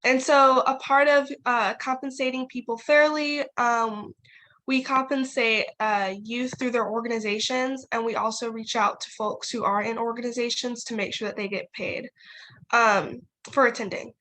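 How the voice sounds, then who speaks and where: Young woman, high voice, chatty, many fillers, video call. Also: fairly even intonation, moderate pace, fairly bright tone, poor audio. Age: young adult. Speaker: youth working group chair. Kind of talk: casual online meeting talk.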